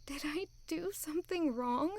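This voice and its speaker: A woman's voice: sits high, a little slow, no echo. A young woman acting an anxious, lovelorn servant girl in an audio drama, earnest and plaintive. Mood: insecure, sad.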